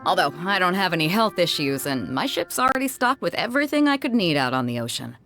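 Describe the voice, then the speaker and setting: Confident easygoing lady sea captain for a game, lively up and down delivery, music behind. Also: lowish voice, quick pace. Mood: casual. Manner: breezy, informal, confident. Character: bold, easygoing adult female sea captain, hearty and informal. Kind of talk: video game character voice acting.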